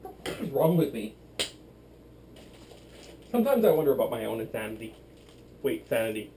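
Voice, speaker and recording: A man's voice: mid-range voice, big pitch swings, moderate pace, warm tone, slight background noise, rough recording. A drunk young man rambling and playing silly while he bakes in a small home kitchen, recorded by a camera across the room. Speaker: vlogger. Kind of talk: drunken cooking demo vlog.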